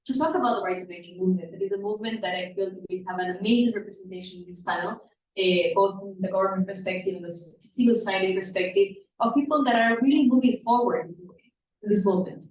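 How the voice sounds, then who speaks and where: A woman's voice: medium pitch, fast talker, darkish tone. A woman from Ecuador with an Ecuadorian Spanish accent gives an earnest presentation to an audience as a panel speaker, often repeating words as she goes. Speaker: activist, panel speaker at a conference. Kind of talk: public presentation to a live audience. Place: indoor event room. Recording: echoey room, distant mic, background noise.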